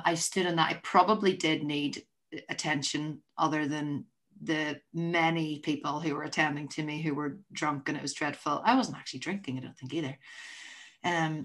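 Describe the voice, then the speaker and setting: A woman with a deep voice hosting a podcast, chatting casually and telling rambling stories that keep interrupting themselves. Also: steady tone, normal speed, fairly bright tone. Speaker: podcast host. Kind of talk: casual chat.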